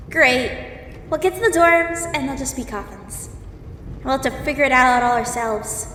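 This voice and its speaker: Casting: actress as fed-up, tired teenage girl, high-pitched, grumbling, in a reverberant audio drama recording. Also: a bit bright. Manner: sighing, grumbling. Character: weary, exasperated teenage girl traveler. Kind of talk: fan audio drama acting. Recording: echoey, distant, reverberant, faint noise.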